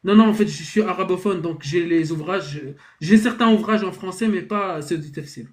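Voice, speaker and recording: A man with a notably high voice hosts a live debate over a video call. He talks hesitantly, circling back and restarting his sentences. He keeps reassuring the others, calm and conciliatory. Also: moderate pace. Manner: hesitant, many restarts and fillers.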